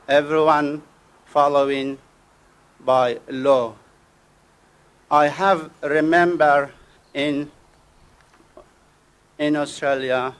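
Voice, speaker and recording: Man from afghanistan, very slow careful speech, flat voice, lots of restarts. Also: medium pitch. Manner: halting, with restarts and broken grammar. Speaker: guest speaker. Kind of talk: prepared speech at a ceremony.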